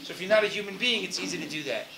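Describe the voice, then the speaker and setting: A man's voice: high voice, very fast, reverberant, heavy background noise. A man giving an earnest, informal introduction to a guest speaker for students, with frequent fillers.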